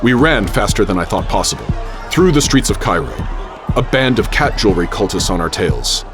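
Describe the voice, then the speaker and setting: Low-voiced, theatrical adventurer professor. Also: male voice, big pitch swings. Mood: dramatic. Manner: measured, literary, theatrical storytelling. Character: adventurous, wary gentleman professor recounting his exploits. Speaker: narrator and lead character. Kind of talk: radio theater, dramatic first-person narration. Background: music.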